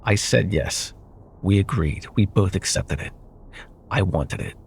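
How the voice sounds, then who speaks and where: A man playing a smug, possessive corporate CEO and jilted ex-lover in an intimate ASMR roleplay, with silky condescension and pointed pauses. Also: low-pitched, vocal fry, a little hiss or hum. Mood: silky, bargaining.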